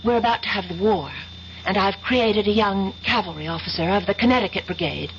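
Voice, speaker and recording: An actress playing an anxious, obsessed young college student in an old-time radio drama, speaking in a halting, intense, earnest way. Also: female voice, medium pitch, slight background noise, natural voice. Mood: earnest, driven. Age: young adult. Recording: muffled old radio recording with music.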